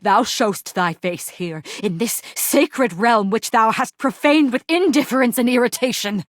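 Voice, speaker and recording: A woman playing a proud, brooding, war-weary commander in a video game, speaking in archaic, poetic lines with solemn pauses and heavy melodrama. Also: a little high, no echo, studio quality, natural voice. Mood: indignant, proud. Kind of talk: dramatic video game character voice acting.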